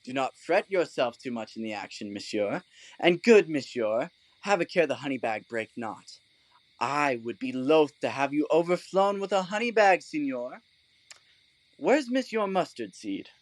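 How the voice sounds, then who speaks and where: Guy playing a silly pompous donkey man, voice swooping all over, fairly high, dry studio sound, stage comedy. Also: animated, a little slow, dry recording, poor audio. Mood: lordly, indulgent. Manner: pompous, mock-courtly, leisurely. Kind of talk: Shakespearean comic audio drama.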